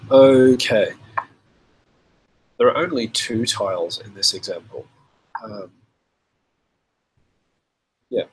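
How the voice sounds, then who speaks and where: A man with a cold and a slightly rough voice, hosting a casual live demo and answering viewer questions in a relaxed, chatty way. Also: lowish voice, slow pace, pauses often, a little hiss or hum, rough recording. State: has a cold, voice a bit rough. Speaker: software developer hosting a live stream.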